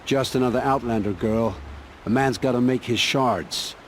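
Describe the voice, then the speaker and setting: An actor playing a gruff, evasive ruin scavenger and scout in a video game, brusque and defensive. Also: male voice, medium pitch, moderate pace, lightly acted. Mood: weary, dismissive. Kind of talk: acted video game dialogue. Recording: clearly noisy, ambient background.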